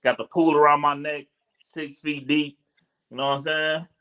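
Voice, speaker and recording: Bright-voiced joking podcast host. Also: male voice, a little high, level tone, moderate pace, clear, resonant tone. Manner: joking, teasing, laughs a lot. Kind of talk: casual chat.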